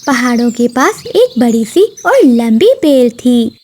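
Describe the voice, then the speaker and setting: A woman's voice: on the high side, sing-song, slow pace, darkish tone, a little hiss or hum. A woman narrating a scripted children's story as the storyteller.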